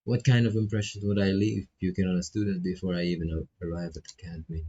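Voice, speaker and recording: A man's voice: deep voice, level tone, quick pace, warm tone, husky. A man who is not a native English speaker reads game text aloud as a streamer, with casual asides and stumbles over phrases. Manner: stumbles and repeats phrases while reading. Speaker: streamer playing a visual novel. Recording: dull, lo-fi microphone sound.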